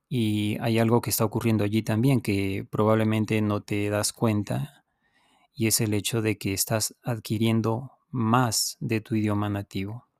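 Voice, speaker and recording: Slow, low-voiced man teaching on a podcast. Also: darkish tone, dry recording, clean studio sound. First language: Spanish. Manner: deliberate, repetitive explaining with pauses, addressing the listener directly. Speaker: podcast host and language teacher. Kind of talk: unscripted instructional podcast monologue.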